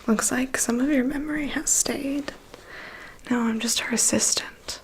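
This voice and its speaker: A woman playing a calm, prying vampire's assistant in an ASMR roleplay, murmuring dry asides and reassurance in a breathy whisper. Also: high-pitched, measured pace, slight background noise, low-quality recording. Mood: dry, nonchalant. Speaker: ASMR performer. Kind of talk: ASMR roleplay, personal attention.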